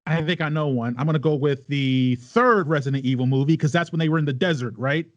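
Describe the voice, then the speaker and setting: A man's voice: fairly high pitch, fast, no echo, highs cut off. A man, a podcast panelist who banters casually in an argumentative, exasperated way.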